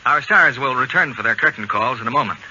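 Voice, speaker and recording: A man's voice: mid-range voice, lively intonation, quick pace, very noisy. A man announcing an old-time radio advertisement in an emphatic, promotional manner. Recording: muffled old radio broadcast.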